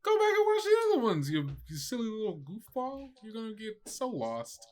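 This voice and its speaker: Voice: mid-range voice, huge pitch swings, normal speed, darkish tone. A game master hosting casually and narrating an improvised story, with a playful, teasing manner. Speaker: game master and podcast host.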